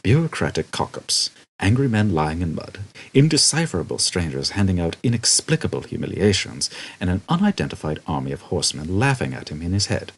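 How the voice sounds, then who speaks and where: A man with a very deep, husky voice narrates a comic story. His delivery is scripted and dryly humorous, and his pitch moves in lively swings. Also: fairly quick. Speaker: audiobook narrator.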